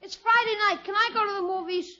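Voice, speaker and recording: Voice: very high voice, steady tone, quick pace, pushed and bright, full character voice. A performer playing an eager, cheeky young nephew who pleads in an old radio comedy. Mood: pleading, eager. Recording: muffled, noisy vintage radio recording.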